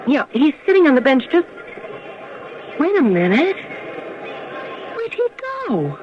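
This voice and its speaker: A woman's voice: sits high, big pitch swings, unhurried, crowd noise. An actress in a radio drama playing a practical, businesslike female clerk questioning a customer. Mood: concerned, brisk. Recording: muffled, noisy old radio broadcast.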